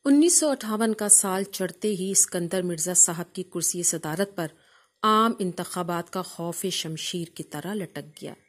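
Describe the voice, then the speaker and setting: A woman with a Pakistani accent narrates a literary memoir in a measured, formal storytelling manner. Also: fairly low pitch. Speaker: audiobook narrator reading a memoir.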